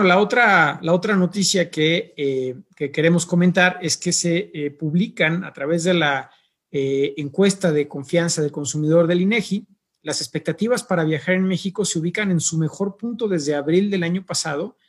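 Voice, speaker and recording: Husky-voiced host, rather high. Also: male voice. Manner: unhurried, hesitant, fills pauses with eh and pues. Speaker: podcast host. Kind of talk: interview.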